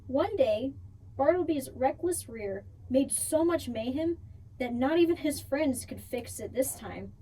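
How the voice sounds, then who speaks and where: A woman reading a picture book aloud for children in an expressive storytelling style, drawing out key words. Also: high-pitched, unhurried. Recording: distant mic, faint background noise.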